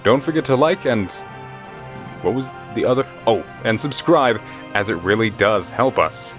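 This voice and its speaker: A man's voice: on the low side, animated, moderate pace, fairly bright tone. A man playing a laid-back, scruffy adventurer in a fantasy audio drama, casual and cheeky. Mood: playful. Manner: casual, blithely friendly, slangy. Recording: music behind the voice.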